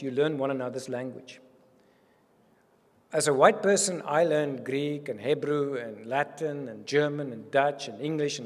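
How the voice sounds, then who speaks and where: South African man, husky earnest speech. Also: a little high. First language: Afrikaans. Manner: earnest and animated, gesturing widely. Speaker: university provost. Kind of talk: welcome speech. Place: reception hall with curtains. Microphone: podium microphone. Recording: long hall reverb.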